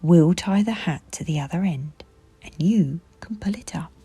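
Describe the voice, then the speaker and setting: Old toy bear read by a woman: calm, low, very breathy, gentle storybook delivery over music. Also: normal speed. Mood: helpful. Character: calm, kindly old male teddy bear.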